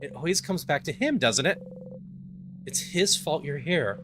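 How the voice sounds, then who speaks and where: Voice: mid-range voice, expressive, a little slow, close to an everyday voice. An intense, despairing game hero acted out by a voice-over performer spirals through a bitter inner monologue. The delivery halts and repeats obsessively, with noise behind it. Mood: vengeful. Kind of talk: dramatic game script voice-over.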